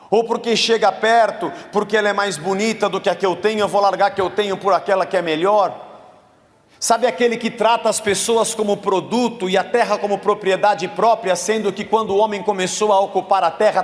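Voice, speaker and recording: A Brazilian man, a pastor, delivering a conversational sermon in a church, mixing Scripture with casual slang. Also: high voice. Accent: Brazilian Portuguese. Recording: long church reverb.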